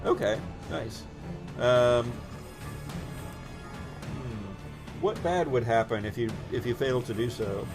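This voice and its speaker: A man's voice: mid-range voice, slow pace, long pauses, music in the background. A man narrating an improvised tabletop game as game master, thinking aloud as he goes.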